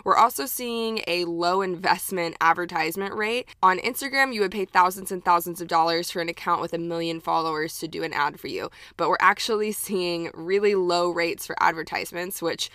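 A woman's voice: on the low side, brisk, hardly any pauses, a bit bright, dry recording, clean studio sound. A young woman with a light Texan accent, hosting her own podcast in an upbeat, chatty way with plenty of fillers. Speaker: podcast host. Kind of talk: casual solo podcast talk.

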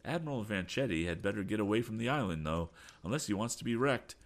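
A man voicing a bold, confident sea captain in an adventure storybook, with a brisk, commanding manner. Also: fairly low pitch, lively intonation, voice pushed up. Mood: foreboding. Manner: urgent, exclamatory, commanding. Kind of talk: audiobook adventure character.

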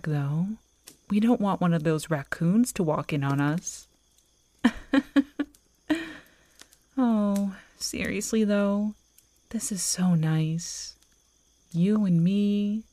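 A woman playing an affectionate, playful girlfriend in a soft, intimate ASMR audio roleplay, close to the microphone. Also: on the low side, no room sound. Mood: playful, teasing. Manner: intimate, teasing, slow and cosy. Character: affectionate, playful werewolf girlfriend on a camping trip. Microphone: close microphone, dry.

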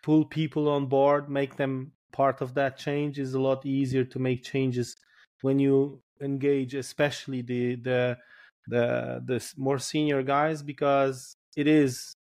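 A man's voice: mid-range voice, little pitch movement, a little slow, darkish tone. A man with a Polish accent, a firefighter guest on a podcast, speaking thoughtfully and often repeating words as he searches for phrasing. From Poland. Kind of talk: casual podcast interview.

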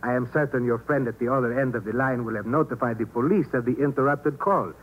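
An actor playing a polite, menacing saboteur in 1950s radio thriller style, speaking formally and precisely with cool threat. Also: male voice, medium pitch, fast talker, natural voice. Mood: cool, controlled threat. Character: polite, menacing male saboteur with a briefcase bomb. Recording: dull, noisy old radio recording.

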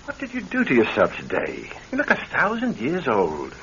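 A man's voice: on the high side, wildly swooping pitch, normal speed. A man playing a concerned, devoted husband in a classic radio drama, earnest and exclamatory. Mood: teasing, fond. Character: concerned, devoted husband, wry and plain-spoken. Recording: muffled old radio recording, faint noise.

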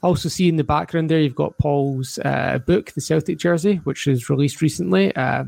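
A man with a Scottish accent that mixes Glasgow and Highland sounds, hosting a casual football podcast over a video call in a relaxed, filler-heavy way. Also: moderate pace, creaky, no room sound. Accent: Scottish, mix of Glasgow and Highland. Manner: relaxed, frequent uh fillers, signing off the show. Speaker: podcast host. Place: hot room abroad, video call.

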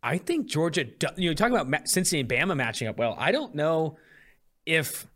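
A man's voice: fairly high pitch, big pitch swings, fast talker, a bit bright. A man hosting a sports podcast, casually analysing games and betting in an emphatic, mildly profane way.

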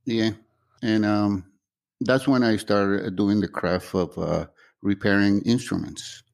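Low gravelly-voiced man from Guatemala. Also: steady tone, slow pace. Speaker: bass player in a band. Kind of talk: casual interview talk.

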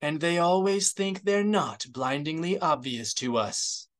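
A man voicing a cold, contemptuous woman intelligence agent in an audiobook, flat and disdainful. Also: on the high side, unhurried, pitched above the performer's own voice.

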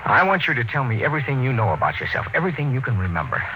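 An actor playing a weary, probing space expedition captain who narrates gravely and dramatically in a classic radio drama. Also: male voice, medium pitch, very animated, very fast, highs cut off, natural voice. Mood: probing, intent. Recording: old radio recording with music behind.

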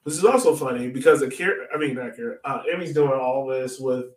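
A man's voice: mid-range voice, quick pace. A man co-hosting a casual soccer fan podcast, talking informally and breaking down a match. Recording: echoey room, far from mic.

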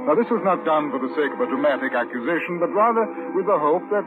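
An actor playing a composed, methodical police inspector who narrates and questions in a measured, formal, politely probing way, in old-time radio drama style. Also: male voice, on the high side, lively intonation, loud music behind the voice, lightly acted. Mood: sober narrating. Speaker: detective and narrator. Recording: tinny, hissy vintage radio recording.

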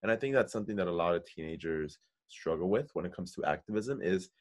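A man's voice: low-pitched, lively intonation, fast. A young American man hosting a podcast, chatting casually and feeling his way through questions and thoughts. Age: young adult. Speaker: podcast host. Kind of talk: casual interview chat.

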